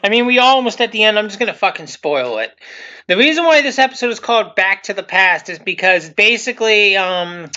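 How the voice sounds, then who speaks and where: A man's voice: high-pitched, expressive, a little fast, pushed and bright. A man giving casual, unscripted commentary as the creator of a video series, rambling and repeating himself. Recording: muffled lo-fi mic, music behind.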